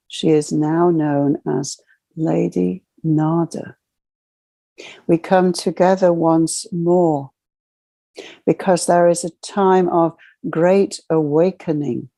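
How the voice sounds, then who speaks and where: A woman guides a spiritual meditation in a mellow voice with a gravelly edge. She speaks in solemn, measured phrases, like a formal ceremonial address. The sound is close and dry. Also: sits low, no echo. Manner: slow, measured, ceremonial delivery in short phrases.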